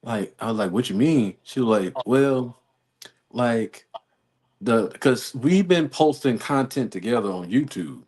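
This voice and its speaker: A man's voice: medium pitch, lively intonation, measured pace, darkish tone. An American man in a casual talk-show chat, rambling through a personal story as host. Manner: rambling, storytelling with quoted exchanges.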